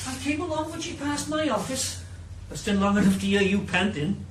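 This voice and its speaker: A man's voice: high-pitched, expressive, normal speed, slight background noise. An actor playing a sly male friend who teases and eavesdrops in a radio drama. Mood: teasing. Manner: teasing and sly. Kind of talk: 1980s radio drama acting. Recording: echoey and heard from far off.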